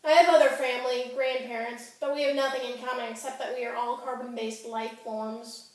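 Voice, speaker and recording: A woman's voice: on the high side, steady tone, a little fast, close to an everyday voice. A woman performing a theatrical monologue as an angry, wounded fifteen-year-old girl, melodramatic and sarcastic. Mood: hurt, sardonic. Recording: echoey room, distant microphone.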